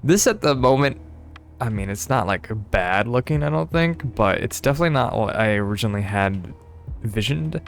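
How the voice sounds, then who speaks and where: A man's voice: mid-range voice, expressive, normal speed, creaky, soft background music. A young man streaming a building game, talking in a casual, rambling way with many fillers and a slightly stuffy voice. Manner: rambling, hesitant, frequent laughs and fillers. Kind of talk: casual gameplay commentary.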